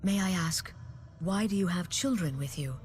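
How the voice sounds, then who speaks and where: A woman playing a dignified, mature head of government in a video game, with composed, formal and measured speech. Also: lowish voice, lightly acted. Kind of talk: video game cutscene acting. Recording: faint background music.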